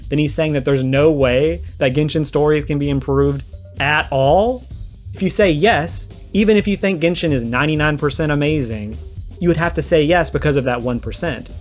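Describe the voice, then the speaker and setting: Higher-voiced man explaining over music. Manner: explanatory, argues point by point. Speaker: video essayist giving a response commentary. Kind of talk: conversational reaction commentary on games. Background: background music.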